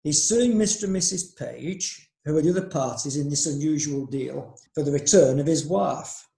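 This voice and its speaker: An actor playing a deferential court clerk who hesitates before bad news. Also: male voice, fairly high pitch, normal speed, creaky, mellow, reverberant. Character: male court clerk, dutiful. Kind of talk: amateur audio drama acting.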